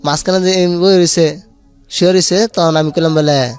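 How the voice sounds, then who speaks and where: Male witness guest, slightly high voice, rural Bangladeshi dialect, plain halting account, faint music bed. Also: steady tone, clear, resonant tone. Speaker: street snack vendor, witness guest. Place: radio studio.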